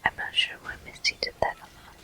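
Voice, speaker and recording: A person whispering gentle, unhurried ASMR commentary as a video game walkthrough host. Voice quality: soft, breathy whisper.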